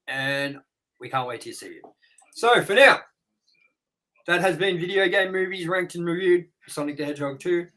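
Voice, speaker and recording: A man hosting a review show, rambling and excitable as he wraps up the episode with plugs. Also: high-pitched, normal speed, long pauses, rough recording. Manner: rambling and excitable, with sound-effect noises. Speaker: host. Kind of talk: casual episode wrap-up with plugs.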